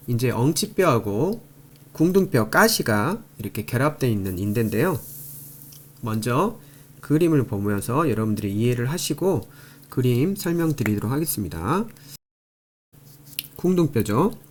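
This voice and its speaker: Male anatomy lecturer walking through slides, repetitive, explanatory, pitch moves a lot. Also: a little mellow. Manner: explanatory, repeats terms, frequent fillers and pointing phrases. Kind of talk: recorded lecture over slides.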